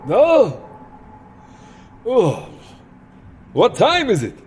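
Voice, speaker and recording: A man's voice: high voice, wildly swooping pitch, extremely slow, a little mellow, slight background noise, exaggerated voice. A man playing a weary, exasperated vampire entertainer in a comic audio drama, grumbling theatrically and petulantly.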